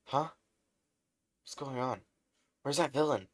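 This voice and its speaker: A man voicing an excitable, nervous teenage boy who idolizes a hero, stammering and flustered in a fan-told story. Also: medium pitch, takes it slowly, pitched above the performer's own voice, natural voice. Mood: flustered, eager.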